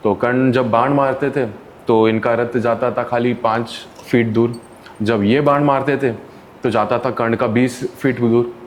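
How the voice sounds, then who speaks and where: A man's voice: medium pitch, unhurried. A man, an interview guest who works as a counsellor, telling stories in casual conversation and acting out the people in them. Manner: animated, acts out quoted people with voices. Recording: faint background noise.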